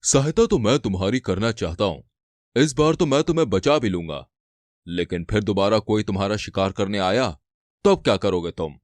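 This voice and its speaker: A man voicing a big, kindly old cartoon elephant who advises in a calm, measured manner. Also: lowish voice, no echo, natural voice. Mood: wise, encouraging. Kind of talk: animated moral-story cartoon voice acting.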